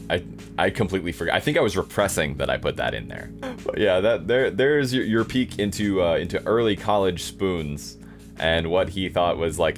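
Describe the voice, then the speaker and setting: A man doing casual, dry, self-deprecating commentary as he hosts a playthrough of his own game. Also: low-pitched. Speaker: host playing own old visual novel.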